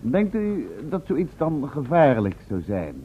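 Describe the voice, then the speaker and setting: An actor playing a polite, cautious man who asks questions in a measured, courteous way, in a radio play. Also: on the high side, big pitch swings. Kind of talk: Dutch radio play acting. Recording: tinny band-limited old radio recording, faint noise.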